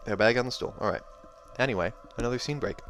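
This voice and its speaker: A man's voice: medium pitch, fast talker, a bit bright, soft background music. A man narrating a fantasy novel aloud, with informal, self-correcting asides as he reacts to the text. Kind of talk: audiobook narration with casual asides.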